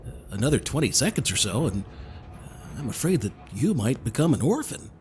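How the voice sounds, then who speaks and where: A man playing a smooth-talking, mock-polite con man villain in an audio drama, wordy and falsely kindly. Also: mid-range voice, sing-song, a little fast, darkish tone, close to an everyday voice. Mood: smugly menacing. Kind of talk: scripted audio drama acting.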